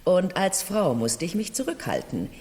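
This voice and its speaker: Haughty middle-aged italian marchesa, lowish voice, very lively swings, old radio drama in a long reverb. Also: female voice, normal speed. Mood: confessional, wistful. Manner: theatrical, haughty, confessional. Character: proud, mocking, high-strung middle-aged Italian noblewoman, the Marchesa. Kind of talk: 1970s German radio play acting.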